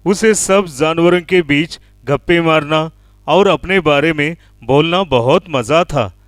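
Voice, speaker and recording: A man's voice: on the high side, slow pace, no echo, clean studio sound. A man narrating a scripted children's animal story.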